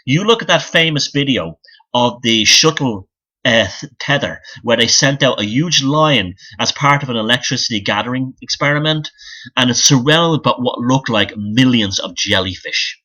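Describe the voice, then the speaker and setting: A man with a bright, forceful voice at an ordinary pitch delivers an off-the-cuff commentary. He is blunt and scornful, swears freely, and circles back over his phrases to hammer them in. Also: animated, moderate pace. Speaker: YouTube commentator and author. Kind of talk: unscripted opinionated monologue.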